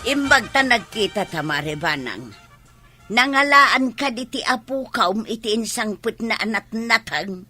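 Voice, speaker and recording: An actress plays a scolding village woman with a sharp, forward voice pitched fairly high. She is feisty and insistent, with an Ilocano accent, in a radio comedy drama. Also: expressive, unhurried, faint music behind.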